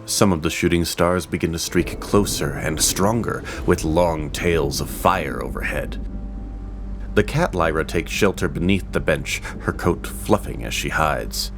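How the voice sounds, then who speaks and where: A man narrating an audio drama as its storyteller in a measured, descriptive way. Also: very deep voice. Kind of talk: scripted narration. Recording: music underneath.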